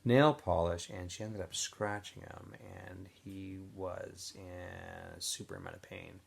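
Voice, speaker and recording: A man's voice: low-pitched, fairly even intonation, measured pace, gravelly, slight background noise. A male teacher casually recapping a story for students, then reading a novel aloud expressively.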